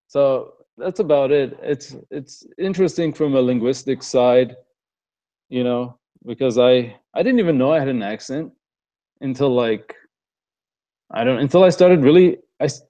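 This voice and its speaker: Young armenian-american guy rambling on a live stream, mellow voice, kinda muffled audio. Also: medium pitch, a little slow, pauses often. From Los Angeles, USA. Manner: relaxed, rambling, laughs often. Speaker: fitness coach answering questions. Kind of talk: casual live Q&A.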